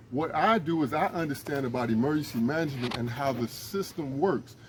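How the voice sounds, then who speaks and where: A man's voice: on the high side, slight background noise. A man, an emergency management instructor, making an earnest, rambling, pleading appeal outdoors, with many restarts. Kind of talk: casual direct-to-camera appeal.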